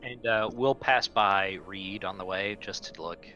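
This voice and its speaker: A man's voice: fairly low pitch, unhurried, sharp tone, dull audio, faint music behind. A man playing a tabletop game, talking casually in and out of character with a dry, joking manner.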